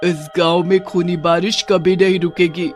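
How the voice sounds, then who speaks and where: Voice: medium pitch, flat delivery, faint music behind, natural voice. A performer voicing a wicked female witch who gloats and cackles in a horror cartoon story. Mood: menacing gloating. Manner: cackling, drawn-out gloating delivery.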